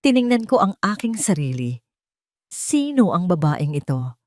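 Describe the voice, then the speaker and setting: Filipina lady reading a dramatic story, kinda low voice, solemn and deliberate, really dry sound. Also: sing-song, a little mellow, no room sound. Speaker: narrator. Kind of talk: dramatic scripted first-person story narration.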